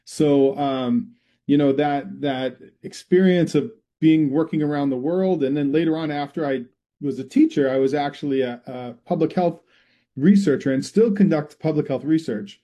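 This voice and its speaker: A man's voice: a little high, moderate pace, warm tone, lo-fi sound. A middle-aged man from Massachusetts with a Massachusetts accent, a researcher answering interview questions in a rambling way with many restarts. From Massachusetts, USA. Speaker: guest, public health researcher. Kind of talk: conversational interview.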